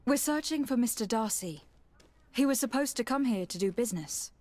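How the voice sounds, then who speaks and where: A woman's voice: on the high side, expressive, fairly quick, darkish tone, dry recording, natural voice. An actress playing a composed, diplomatic noblewoman envoy in a video game, formal and polite. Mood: calm, probing. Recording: dry voice, faint game music. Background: faint music.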